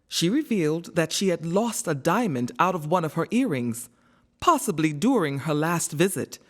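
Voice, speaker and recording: A woman narrating a scripted children's storybook in an expressive, measured storytelling manner. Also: lowish voice.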